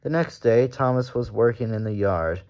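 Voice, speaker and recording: A man's voice: mid-range voice, moderate pace, a little mellow, highs cut off. A man narrating a children's storybook aloud as the story reader.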